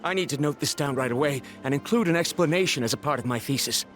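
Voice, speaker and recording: A man's voice: mid-range voice, fast talker, a bit bright, close to an everyday voice. An actor voicing an earnest young male scholar who talks in a serious, precise and businesslike way, in a video game. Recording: game audio with music behind.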